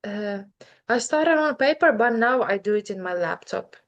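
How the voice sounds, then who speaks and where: A woman in her twenties with a Ukrainian accent talks as a guest in a relaxed interview. She is a native Ukrainian speaker who pauses, restarts and reaches for her words, her pitch sitting in a middle range. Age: 20s. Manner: thoughtful, hesitant, many fillers and restarts. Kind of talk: casual interview chat.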